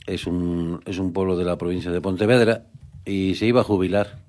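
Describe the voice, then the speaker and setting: Man, middle-aged, low voice, interview guest, hesitant, many restarts, earnest. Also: level tone, normal speed, darkish tone. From Canary Islands. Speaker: guest, rural real estate agent. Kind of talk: conversational interview.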